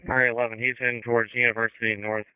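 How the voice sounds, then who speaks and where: A man's voice: medium pitch, flat delivery, very fast, smooth, no room sound. A man on two-way police radio, relaying information in a matter-of-fact way. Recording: narrow-band police scanner radio audio.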